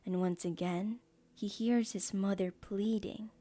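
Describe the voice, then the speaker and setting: A woman's voice: medium pitch, steady tone, unhurried, dark tone. A woman narrating an audio drama in a scripted storybook style.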